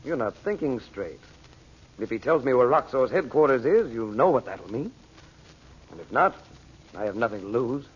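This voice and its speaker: Resolute hero, medium-pitched, muffled recording. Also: male voice, expressive, moderate pace, pauses often, breathy edge. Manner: earnest, decisive. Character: resolute, reassuring magician hero, calm adult man. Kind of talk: 1940s radio drama acting. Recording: muffled old radio recording, faint noise.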